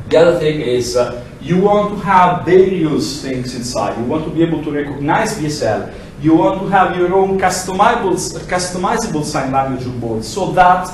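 A middle-aged man with a heavy Italian accent, speaking a little higher than most men, lectures with animated energy. He is caught by a distant camera, so his voice rings around a big, reverberant room with a faint hum beneath it. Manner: animated, gesturing, acts out examples in quoted voices. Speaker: lecturer presenting a project. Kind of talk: lively technical presentation. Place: large lecture room, indoors. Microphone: far camera, no mic. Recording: echoey room from far off.

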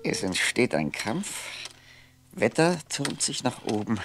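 An actor playing a lonely, brooding man who talks to himself in a halting, poetic way in a 1960s radio play. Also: medium pitch, big pitch swings, noisy background. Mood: dreamy, intense. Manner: musing, halting, poetic, sometimes near whisper.

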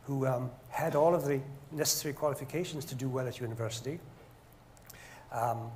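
An older man speaking as a panelist, giving measured remarks with frequent hesitant pauses. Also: mid-range voice, a little mellow. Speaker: panelist, engineer. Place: stage of a lecture hall, indoors. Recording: faint noise in a hall.

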